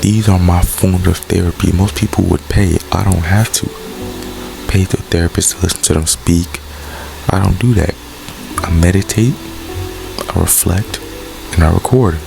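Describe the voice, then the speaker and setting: A man's voice: deep voice, steady tone, normal speed, creaky, long pauses, rough recording. A young American man talking earnestly and candidly about his life, repeating phrases for emphasis. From United States. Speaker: vlogger and poet. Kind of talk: reflective personal monologue. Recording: background music.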